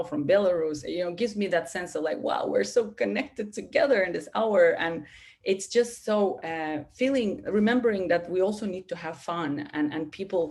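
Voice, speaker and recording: Animated woman speaking in a webinar. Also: lowish voice. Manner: animated, many restarts and "you know" fillers. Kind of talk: webinar talk. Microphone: video call.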